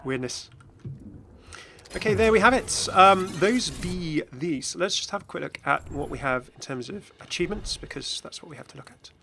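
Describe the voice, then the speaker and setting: A man's voice: on the high side, animated, normal speed, gravelly, sharp tone, a little hiss or hum. A man giving casual, chatty commentary as host of a gaming video. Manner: chatty, frequently chuckling.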